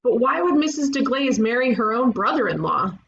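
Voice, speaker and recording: A woman's voice: on the high side, big pitch swings, fairly quick, gravelly. A woman playing a feisty, bossy young detective in a cartoon mystery, brash and commanding, on a dull lo-fi mic. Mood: questioning. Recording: dull, lo-fi mic with faint noise.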